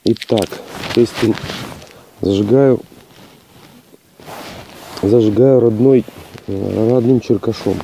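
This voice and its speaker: A man with a hoarse voice from a cold, testing gear outdoors in a plain, matter-of-fact way with hesitant fillers. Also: fairly low pitch, steady tone, slow pace, long pauses, mellow, a little hiss or hum, poor audio. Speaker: outdoor channel host. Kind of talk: casual outdoor gear test. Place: outdoors on a rocky hillside.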